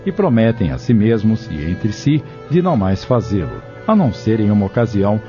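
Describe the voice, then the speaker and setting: A man's voice: lowish voice, very animated, normal speed. A man with a Brazilian accent narrating an audio novel in formal, literary storytelling style. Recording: dull lo-fi audio with music behind.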